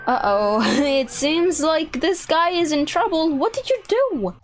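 A young woman hosting a gaming video, narrating and chatting through a roleplay in an exclamatory, animated way. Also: high voice, measured pace, faint music behind. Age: young adult. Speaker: YouTube gaming host.

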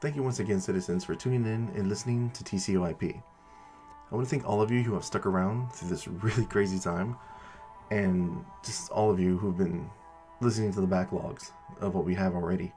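A man's voice: low voice, a little fast, warm tone. A man hosting a podcast, giving an informal announcement to listeners in casual language with some mild cursing. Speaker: podcast creator and host. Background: background music.

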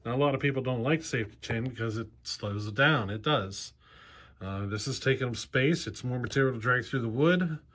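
A man in his forties talks casually in a cluttered garage workshop, his voice sitting at an ordinary middle pitch. He explains step by step in a plain-spoken, practical way, dropping in ums and you-knows. The sound comes from a handheld camera held close to him. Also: brisk, fairly bright tone, husky. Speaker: chainsaw channel host.

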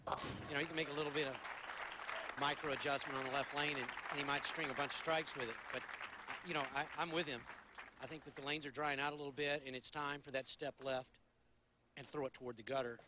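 A man giving relaxed, thoughtful color commentary on a bowling match, with fillers like "you know". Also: mid-range voice. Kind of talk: bowling analysis. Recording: broadcast with crowd noise.

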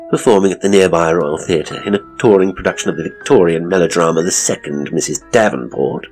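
Man playing a deep-voiced, pompous British ghost guide. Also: lightly acted, low-quality recording. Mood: grave storytelling. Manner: pompous, florid, theatrical, leering. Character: hapless, seedy, pompous male ghost tour guide. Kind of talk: dramatic monologue in a horror audio story.

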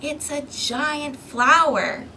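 Bright, high-voiced woman hosting children's storytime. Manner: animated, deliberate, prompting children with questions. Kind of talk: interactive picture-book read-aloud for young children. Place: outdoors on an apartment balcony. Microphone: camera microphone a few feet away. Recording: outdoor balcony, distant mic, ambient noise.

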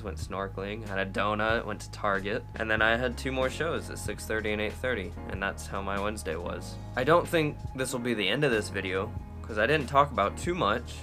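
A young man in his twenties talking casually to a handheld camera, relaxed and rambling, outdoors and later in a small cabin. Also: fairly low pitch. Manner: relaxed, rambling, self-amused. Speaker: cruise ship musician vlogger. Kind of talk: casual vlog chat. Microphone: phone camera at arm's length.

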